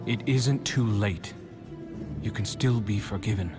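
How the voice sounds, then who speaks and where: A man's voice: on the low side, measured pace, in a large hall, natural voice. Man as an earnest hero, music behind. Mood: concerned. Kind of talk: video game cutscene dialogue.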